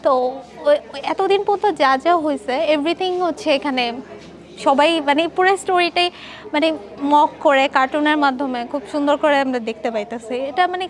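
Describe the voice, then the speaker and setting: A woman's voice: high voice, normal speed. A young woman with a Bangladeshi Bengali accent giving a casual interview answer, mixing in English words. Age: young adult. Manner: colloquial, mixes in English words. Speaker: exhibition visitor interviewed.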